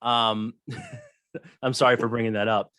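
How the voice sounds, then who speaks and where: A thirty-something American man co-hosts a podcast in a casual, chatty interview style. His voice is medium in pitch and recorded close and dry, with no room sound. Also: huge pitch swings, takes it slowly, pushed and bright, no echo. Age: 30s.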